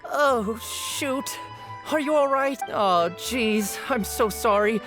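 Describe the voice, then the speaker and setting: Flustered, earnest young newspaper seller. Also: female voice, a little high, slow, music in the background. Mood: apologetic. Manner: earnest, hesitant, chatty. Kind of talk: video game voice acting.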